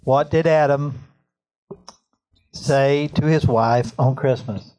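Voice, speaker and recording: Staff man, lilt, slow and deadpan with his joke delivery. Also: mid-range voice, rough recording. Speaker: staff member. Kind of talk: telling corny jokes.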